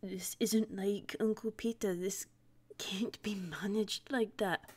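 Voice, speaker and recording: A woman voicing a small male winged cartoon nephew, gentle and loyal, offering firm, folksy reassurance. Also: mid-range voice, steady tone, slow. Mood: firm comfort.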